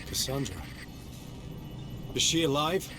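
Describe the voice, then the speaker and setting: A man's voice: medium pitch, animated, slow pace. A man playing a weary, stubborn marine sergeant in a video game, terse and blunt. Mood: anxious, urgent. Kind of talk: video game cutscene acting. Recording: music under the voice.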